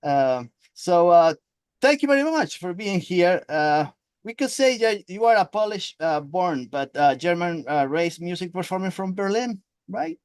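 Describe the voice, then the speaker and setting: A man's voice: sits high, sing-song, measured pace, clean tone, no room sound. Hesitant male interview host. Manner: hesitant, many fillers. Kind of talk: casual interview.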